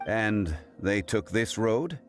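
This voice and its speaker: Dignified scholarly gentleman for a game: low voice, formal and measured, lively intonation. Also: soft background music. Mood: calm inquiry. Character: composed, erudite gentleman of ancient dignity. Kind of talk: video game voice acting.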